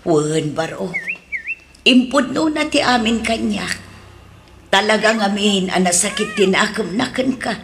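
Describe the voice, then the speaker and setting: A woman playing a concerned, expressive mother in a radio drama with an Ilocano accent, on a noisy recording. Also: medium pitch, unhurried, creaky. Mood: frank, discouraging.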